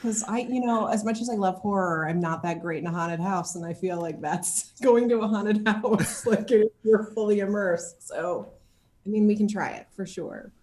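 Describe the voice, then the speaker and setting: A woman chatting casually as an interview guest, animated and given to acting out what people said. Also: medium pitch, normal speed. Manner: animated, acts out quoted reactions. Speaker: guest, actor interviewed about game casting and motion capture. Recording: echoey room sound.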